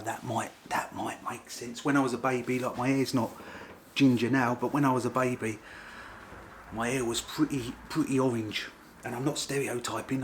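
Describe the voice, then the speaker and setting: A middle-aged Englishman chatting casually to camera at a medium pitch. His talk is rambling and informal, circling back to repeat the same points, with a faint hiss underneath. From England. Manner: rambling, repeats himself, informal working-class grammar. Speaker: vlogger.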